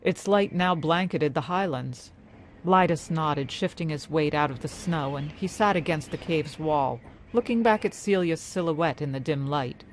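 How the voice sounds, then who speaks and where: A woman storyteller reading scripted fantasy narration in a measured, dramatic way. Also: low-pitched, a little hiss or hum. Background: music.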